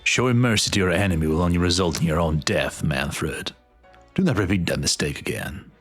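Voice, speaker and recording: A man acting a stern, commanding general in a game fan dub, speaking gravely and formally. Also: low-pitched, a little fast, lightly acted. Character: stern, commanding male general, proud and unyielding. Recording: background music under the voice.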